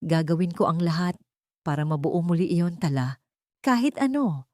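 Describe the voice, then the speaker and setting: A remorseful rich man, voiced by a woman narrator in an audiobook romance. He pleads earnestly in short, broken phrases, a proud figure brought low. The sound is close and dry. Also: big pitch swings, normal speed, darkish tone, dry recording. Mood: earnest vow. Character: remorseful, pleading wealthy man, powerful but humbled.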